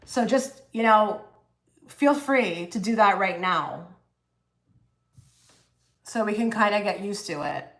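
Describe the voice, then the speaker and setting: A woman hosts a live tarot stream in a loose, chatty way. She halts, restarts and fills pauses as she reads cards and talks to viewers. Her voice sits at an ordinary middle pitch. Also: fairly bright tone. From half Egyptian. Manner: chatty, halting, many fillers and restarts. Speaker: tarot reader livestream host. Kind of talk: casual livestream tarot reading.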